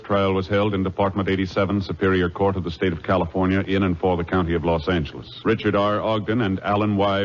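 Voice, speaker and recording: A man announcing in a formal, measured, official manner, in the style of 1950s radio narration. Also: low-pitched, slight background noise. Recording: muffled 1950s radio recording.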